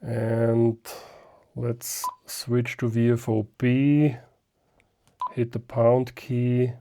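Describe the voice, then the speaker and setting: A man's voice: medium pitch, flat delivery, very slow, warm tone. A man, a German speaker, giving a casual unscripted hands-on gadget demonstration and thinking aloud step by step. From Austria. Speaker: ham radio reviewer.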